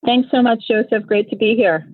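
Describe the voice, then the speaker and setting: A woman's voice: a little high, normal speed, no room sound. A woman from Nigeria, Igbo her first language, talking reflectively as a podcast guest and often repeating words as she thinks. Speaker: podcast guest, company founder. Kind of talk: conversational interview. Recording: tinny phone-like remote line.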